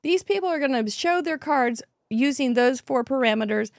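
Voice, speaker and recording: A woman's voice: high-pitched, expressive, moderate pace, vocal fry, no echo, studio quality. A woman co-hosting a livestream, upbeat as she introduces the guests. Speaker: co-host.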